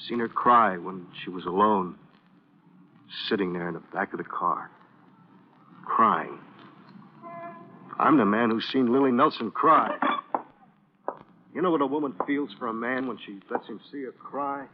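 An actor playing an anguished, lovesick driver who is breaking down, in an old-time radio crime drama. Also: male voice, medium pitch, measured pace, long pauses, natural voice. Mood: wounded longing. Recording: muffled, noisy vintage radio recording.

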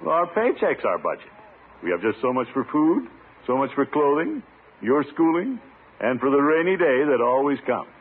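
An actor playing a pompous, easily flustered middle-aged uncle in a 1950s radio sitcom, with blustery, drawn-out exclamations. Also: male voice, mid-range voice, big pitch swings, husky. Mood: earnest. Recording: tinny, noisy old radio recording.

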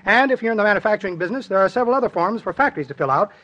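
An American actor playing a fussy, officious city bureaucrat in a 1950s radio comedy, pedantic and insistent. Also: male voice, high voice, animated, racing, pushed and bright, lo-fi sound.

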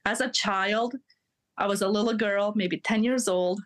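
A woman's voice: mid-range voice, fairly even intonation, a little slow. A woman in her thirties, a guest on a podcast, telling personal stories in an earnest, confiding way and laughing at herself, with slips in her English grammar. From Europe. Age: 30s. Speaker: guest, mindset coach. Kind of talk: candid storytelling in a podcast interview.

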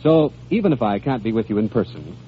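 A man delivering an advertising pitch as a 1940s radio announcer. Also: animated, fast. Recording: muffled, noisy old radio recording.

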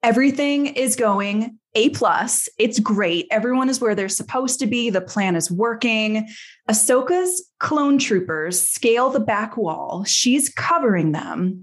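A woman's voice: a little high, slightly rough. Playful woman recapping a story. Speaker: podcast host. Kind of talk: lively episode recap in casual chat.

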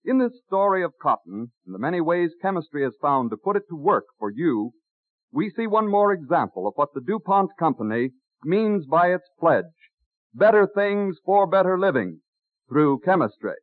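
Male announcer, 1930s radio, formal, deliberate, scripted, fairly high pitch, dry narrow-band recording. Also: sing-song, no room sound.

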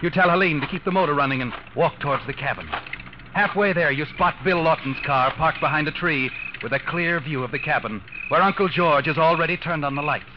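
An actor as an ominous radio thriller narrator, higher-pitched. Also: male voice, background noise, natural voice. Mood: tense, ominous. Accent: American. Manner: taunting, deliberate, suspenseful second-person storytelling. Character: ominous male omniscient narrator of a crime thriller, addressing the culprit. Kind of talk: 1940s radio suspense narration. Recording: dull old radio recording, loud music behind. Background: dramatic music.

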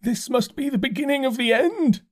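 A man's voice: expressive, darkish tone. A man doing a frantic, half-mad curate. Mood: doom-laden. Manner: complaining, pleading, slow and high-strung. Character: distraught, half-mad male curate, pale and thin. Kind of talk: audiobook character voice.

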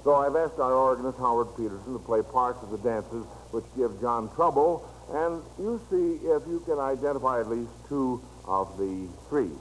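A man's voice: mid-range voice, normal speed, few pauses. An American man hosting an old-time radio quiz show, encouraging and praising the contestants as he reads out questions. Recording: noisy old radio recording.

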